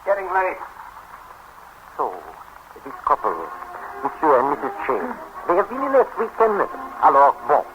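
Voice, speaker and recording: An animated French hotel man. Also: fairly high pitch, background noise. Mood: confiding. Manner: theatrical, halting, confiding. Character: fussy, confiding French hotel man narrating the guests' arrivals. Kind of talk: 1930s radio comedy narration. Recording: 1930s radio broadcast with music.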